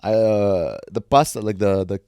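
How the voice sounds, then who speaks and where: A man's voice: on the low side, moderate pace, mellow, clear, resonant tone, no echo. A man co-hosting a podcast in casual chat, rambling and teasing. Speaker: podcast co-host.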